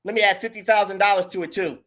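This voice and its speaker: A hoarse man trades takes on a wrestling podcast. He pushes his opinions hard and speaks right at the listeners in a relaxed, chatty way. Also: high voice, quick pace, crowd noise. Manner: opinionated, talks straight to listeners. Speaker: podcast co-host. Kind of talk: casual wrestling chat.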